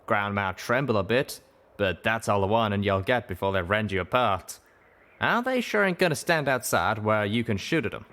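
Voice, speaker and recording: A man's voice: lowish voice, lively intonation, normal speed, fairly bright tone. A man voicing a plainspoken, wary pegasus cowboy in an audiobook, with a colloquial drawl. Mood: warning. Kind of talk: audiobook character dialogue.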